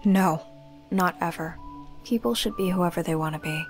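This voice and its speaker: A woman's voice: on the low side, normal speed, soft background music, pitched below the performer's own voice, lightly acted. Woman as a sincere young female necromancer. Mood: sincere, thoughtful. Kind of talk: visual novel character dialogue.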